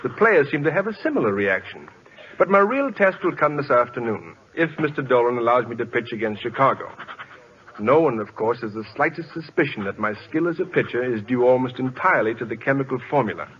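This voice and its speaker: An actor playing a mild, bookish scientist turned rookie ballplayer, polite and precise in an old radio comedy-drama. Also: male voice, mid-range voice, big pitch swings, fairly quick, close to an everyday voice. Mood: earnest. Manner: polite, precise, formal, naive. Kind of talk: 1940s-50s radio comedy-drama acting. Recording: muffled, hissy old radio recording.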